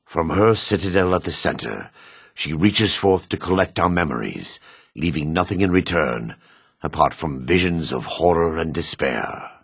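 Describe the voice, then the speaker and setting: An actor playing an older dark elf priest in a video game, grave, devout and weary, with a gravelly, husky voice and solemn, formal phrasing. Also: male voice, extremely low pitch, expressive, normal speed, no room sound, clean studio sound, full character voice. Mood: grave, ominous. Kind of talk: video game character acting.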